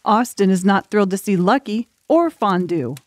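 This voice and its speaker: A woman's voice: mid-range voice, expressive, moderate pace, a little mellow, no room sound. A woman reading scripted story narration as an audiobook narrator.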